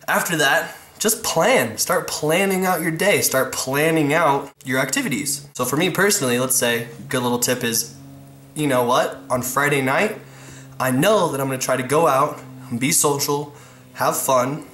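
A man in his twenties talks casually to the camera as a fitness vlogger. His voice sits at an average male pitch, and his delivery is slangy and laid-back, repeating words to drive points home. It was recorded in a living room with clear echo, on a camera mic at about arm's length. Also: a bit bright. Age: 20s. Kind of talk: casual advice talk to camera.

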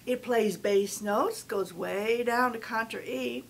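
An older woman demonstrating a bass harmonica, explaining it plainly and informatively. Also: slow pace, vocal fry, over music. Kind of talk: instrument demonstration. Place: convention room with dark curtains.

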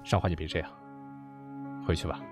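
A man's voice: low voice, flat delivery, a little fast, soft background music, natural voice. A man playing a firm, protective young man in a radio drama, curt and controlled. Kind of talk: web radio drama acting.

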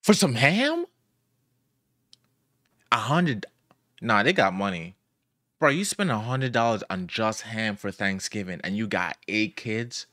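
A man's voice: on the low side, animated, takes it slowly, pauses often, fairly bright tone, dry recording, studio quality. A young man streaming from a home room at a desk mic, reacting in loose, incredulous slang. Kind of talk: casual reaction commentary. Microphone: studio mic at desk, wearing headphones.

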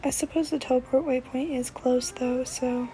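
A woman's voice: sits high, fairly even intonation, normal speed, dark tone. A woman giving casual commentary as host of a video game playthrough. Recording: faint background noise, re-recorded gameplay audio.

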